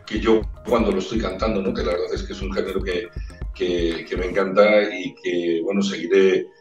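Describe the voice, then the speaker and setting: A man's voice: low-pitched, flat delivery, moderate pace. A man in his forties from Spain with a Castilian accent, a singer chatting as an interview guest on a video call, searching for words and repeating them as he talks. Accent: Spanish from Spain. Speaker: opera-trained ranchera singer, guest. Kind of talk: casual interview chat. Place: indoor room with brick wall. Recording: echoey room, far from the mic, faint music.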